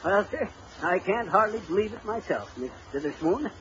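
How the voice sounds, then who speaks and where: A man's voice: high voice, lively intonation, moderate pace, a bit bright. An actor playing a folksy, blustering husband who mangles his grammar and spins tall tales in an old-time radio comedy. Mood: boastful storytelling. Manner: folksy, bungling, chuckling braggart. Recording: muffled, noisy vintage radio recording.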